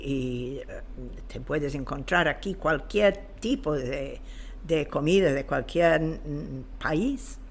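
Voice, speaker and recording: Older woman, slow and halting. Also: low-pitched, a little hiss or hum. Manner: halting, searching for words. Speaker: podcast guest. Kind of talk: interview answers, reflective.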